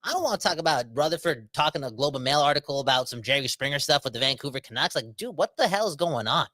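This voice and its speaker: A man, rambling through a casual livestream rant full of slang. His voice is bright and forward, and he talks straight to his viewers as he reads their comments aloud. The close mic is dry. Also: fairly high pitch, expressive, fast talker, no room sound. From Canada. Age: 30s. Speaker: podcast host reading live chat comments.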